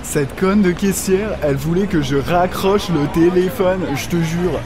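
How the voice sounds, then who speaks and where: A man's voice: sits high, a little slow. A man playing an irritated, self-important passer-by who complains dismissively, in a comedy audio drama. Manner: huffy, slangy, complaining. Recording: busy street noise behind.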